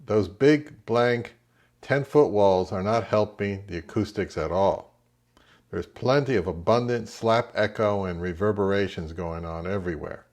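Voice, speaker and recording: A middle-aged man narrating a hi-fi vlog in a conversational, measured, explanatory way. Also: lowish voice, a little mellow, reverberant. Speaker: hi-fi YouTuber. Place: indoors in a home room.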